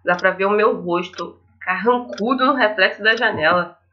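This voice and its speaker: A woman's voice: mid-range voice, normal speed, pushed and bright, lo-fi sound, a little hiss or hum, natural voice. A woman reading the inner monologue of a blunt, curious, amnesiac young man in a visual novel. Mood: puzzled, musing.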